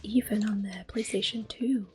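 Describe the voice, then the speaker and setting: A woman giving soft-spoken, mostly whispered ASMR commentary on a video game in a gentle, unhurried manner. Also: medium pitch, steady tone, soft background music. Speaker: ASMR gameplay host.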